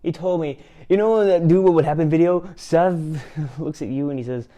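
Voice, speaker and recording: A man's voice: on the high side, moderate pace, mellow. Rambling self-mocking male host. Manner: rambling, self-mocking, frequent laughs and restarts. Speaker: channel host. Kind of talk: casual reaction commentary.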